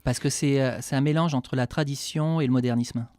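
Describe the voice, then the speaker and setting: A man with a French accent from France speaks as a radio guest, frank and personal, often stopping and restarting his sentences. His voice sits at a middle pitch. The studio sound is dry and close. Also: fairly even intonation, brisk, darkish tone, no echo. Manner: candid, hesitant with frequent restarts. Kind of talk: radio interview answers.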